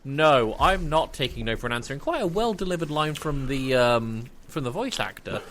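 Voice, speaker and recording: A man's voice: medium pitch, lively intonation, moderate pace, fairly bright tone. A man co-hosting a casual, opinionated review chat, given to casual swearing. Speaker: podcast co-host. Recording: clear background noise.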